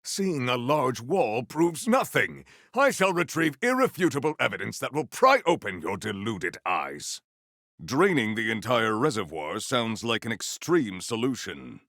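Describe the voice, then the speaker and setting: An actor playing a solemn, valiant warrior hero in a video game, speaking in formal, archaic, grandiose phrases. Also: male voice, medium pitch, very animated, unhurried, a bit bright, no room sound, studio quality. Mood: solemn, resolute. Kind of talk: theatrical video game character lines.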